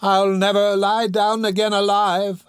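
A man's voice: sits high, flat delivery, measured pace, clear, resonant tone, no echo, pitched above the performer's own voice. A man voicing a dying old pauper woman in a storybook, urgent and hollow, drawing out the words. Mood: desperate, urgent.

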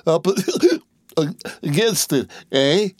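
A man's voice: on the high side, big pitch swings, extremely slow, darkish tone, no echo, pitched above the performer's own voice, heavily acted. A man doing a tipsy rich young swell. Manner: slurred, laughing, lurching speech. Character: drunk rich young man, about eighteen, merry.

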